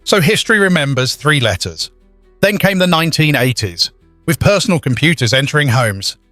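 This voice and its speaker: A man's voice: on the high side, sing-song, normal speed, sharp tone, dry recording, faint music behind. A man voicing a learned stone puppet giving a comic educational talk, didactic and dryly humorous. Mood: earnest, didactic. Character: learned, wise talking stone puppet lecturer. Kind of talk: comedic educational monologue.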